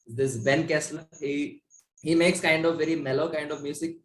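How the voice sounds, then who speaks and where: Echoey-sounding young Indian man, slightly high voice. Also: steady tone, normal speed, slight background noise. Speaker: musician, interview guest. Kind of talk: casual chat. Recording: echoey room.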